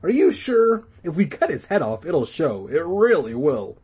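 A man's voice: fairly high pitch, big pitch swings, normal speed, darkish tone, breathy edge, pitched above the performer's own voice, heavily acted. A man voicing a skeptical, matter-of-fact schoolgirl from a storybook, impatient with silliness. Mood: doubtful. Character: simple-spoken, skeptical schoolgirl, impatient with silly boys.

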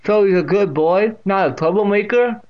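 A man voicing a leering old deaf great aunt in a storybook, loud, slow and deliberate. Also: high-pitched, pitched above the performer's own voice, close to an everyday voice. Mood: blunt, probing. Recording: dull lo-fi audio.

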